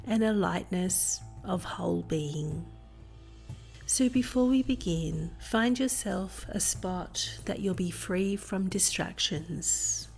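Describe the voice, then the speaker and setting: A woman narrating a guided meditation in a gentle, soothing manner with deliberate phrasing. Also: fairly low pitch. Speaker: meditation guide and podcast host.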